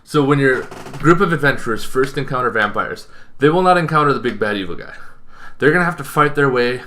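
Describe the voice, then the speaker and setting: A young man with a bright, forceful voice at a medium pitch hosts an informal explainer. He talks conversationally and with animation, often thinking aloud and adding asides. It sounds like someone speaking to a camera in a small room at home. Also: expressive, fairly quick. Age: young adult. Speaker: host of a tabletop roleplaying advice channel. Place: small bedroom, indoors.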